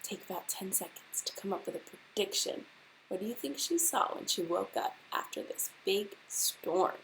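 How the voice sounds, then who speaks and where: A woman reading a children's storybook aloud like a teacher to a class, expressively and deliberately, putting questions straight to her young listeners. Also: medium pitch, animated, gravelly, fairly bright tone, a little hiss or hum, low-quality recording.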